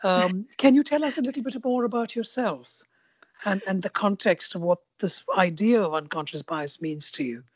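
A woman's voice: medium pitch, normal speed, slightly rough. A woman from India hosting an interview podcast, asking curious follow-up questions and often repeating words as she goes. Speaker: podcast host.

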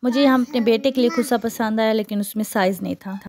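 A woman's voice: on the high side, quick pace, darkish tone. A young adult woman, chatting casually as she narrates her own vlog. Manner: chatty, conversational, with frequent fillers. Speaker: vlogger.

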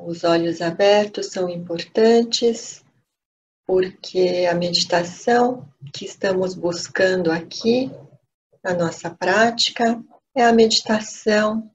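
A woman with a Brazilian accent leading a guided meditation, gently and unhurriedly, pausing between short phrases. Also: mid-range voice. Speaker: meditation teacher. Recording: dull lo-fi audio with steady noise.

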